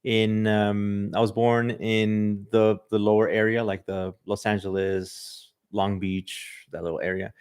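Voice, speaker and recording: A man's voice: fairly low pitch, flat delivery, measured pace, few pauses, a little mellow, smooth. A man from Southern California with a slight Mexican accent, a podcast host chatting loosely on a livestream and reading viewer comments, with lots of "like" and "um". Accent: Mexican Spanish influence. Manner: loose and chatty, many "like" and "um" fillers. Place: livestream in front of a camera.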